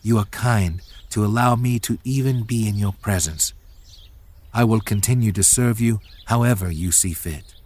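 An actor playing a humble, devout young warrior in a dramatized Bible story, earnest, soft-spoken and deferential. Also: male voice, fairly low pitch, moderate pace, husky. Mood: respectful.

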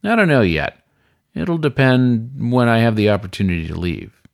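A man's voice: mid-range voice, sing-song, vocal fry. Man playing a hesitant, wary animal thief. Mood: uncertain. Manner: hesitant, halting, mumbled fillers. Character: wary, uneasy male furred animal thief on the run.

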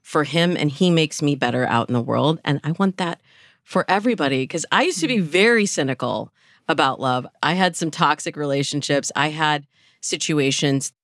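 A woman giving articulate, measured explanations as a dating coach in a podcast interview. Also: sits low, fairly bright tone, no echo, studio quality.

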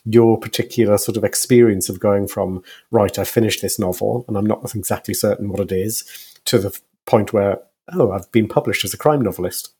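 A man's voice: on the low side, fairly quick, mellow, husky. A man hosting a podcast interview, asking long, discursive questions in a conversational, hedging way. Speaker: podcast host.

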